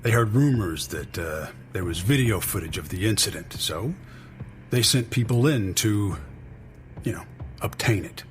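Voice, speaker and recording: A man's voice: on the low side, expressive, a little slow, slight background noise. Weary, wry male insider. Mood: wry, resigned. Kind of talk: naturalistic audio drama acting.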